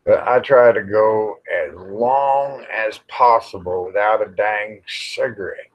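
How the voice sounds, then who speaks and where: A man's voice: lowish voice, slow pace. An American man, middle-aged or older, hosting a casual, rambling livestream chat in a folksy, plain-spoken way with some mild cussing. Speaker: livestream host. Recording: dull, lo-fi livestream audio.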